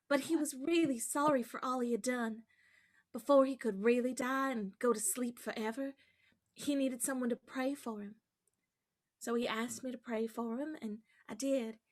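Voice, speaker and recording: A woman's voice: high voice, fairly even intonation, normal speed, lightly acted. A woman playing a sweet, brave young girl in a radio play, speaking with earnest innocence. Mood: earnest, gentle.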